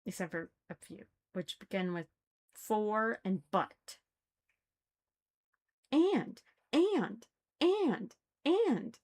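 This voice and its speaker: A woman giving casual, critical commentary, thinking aloud haltingly and repeating phrases for emphasis. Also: medium pitch, very animated, darkish tone. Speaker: reader commenting on a religious text.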